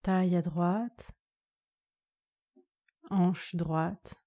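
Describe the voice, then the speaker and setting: Slow, soft-toned woman guiding relaxation. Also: fairly low pitch. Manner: calm, soothing, measured instructions with short phrases. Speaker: yoga nidra instructor. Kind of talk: guided relaxation meditation.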